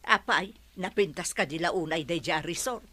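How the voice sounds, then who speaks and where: A woman's voice: lowish voice, normal speed, a bit bright, no room sound. An actress playing a playful, chatty young woman in a radio drama.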